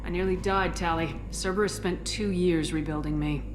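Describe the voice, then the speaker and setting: A woman's voice: fairly low pitch, fairly even intonation, moderate pace, over music, natural voice. A woman playing a resolute military commander in a video game, direct and controlled. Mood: calm, direct. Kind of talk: video game cutscene acting.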